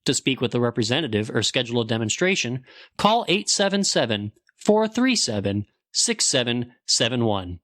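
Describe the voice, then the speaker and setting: A man's voice: mid-range voice, very animated, moderate pace, a bit bright, no room sound, clean studio sound. A man reading a scripted advertisement as a sponsor announcer. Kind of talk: scripted ad read.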